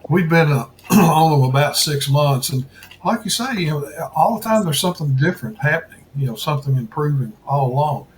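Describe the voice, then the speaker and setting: Encouraging male interviewer with a higher voice. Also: animated, a little fast, a little hiss or hum, poor audio. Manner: short, encouraging replies and brief questions. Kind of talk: casual interview chat.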